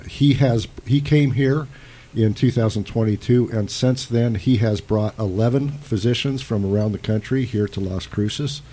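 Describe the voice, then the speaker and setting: A man's voice: fairly low pitch, warm tone. A man hosting a radio panel, passing along callers' points and wrapping up the segment. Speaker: radio host.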